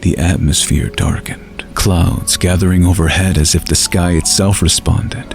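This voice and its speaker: A man narrates a fantasy tale in a deep voice with a gravelly creak. His delivery is measured and dramatic, like scripted storytelling, with soft music underneath. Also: steady tone.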